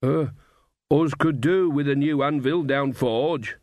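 Man putting on a slow, gentle giant blacksmith. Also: on the high side, no room sound, clean studio sound. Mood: slow, meek. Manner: slow, hesitant, broad rustic dialect. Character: huge, slow, gentle male village blacksmith, Jason Ogg.

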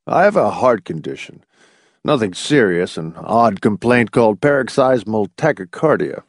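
A man's voice: lowish voice, expressive, normal speed, no room sound, clean studio sound. A man voicing a bewildered old male scientist in an audiobook, hesitant, stammering and genial. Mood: flustered. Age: older.

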